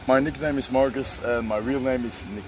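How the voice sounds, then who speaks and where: A man's voice: medium pitch, fairly even intonation, fairly quick. A man in his twenties from Belgium, chatting casually at an outdoor festival into an interviewer's handheld mic, with dry jokes. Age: 20s. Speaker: festival-goer. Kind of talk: casual chat. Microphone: handheld mic held up by interviewer. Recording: noisy outdoor festival recording.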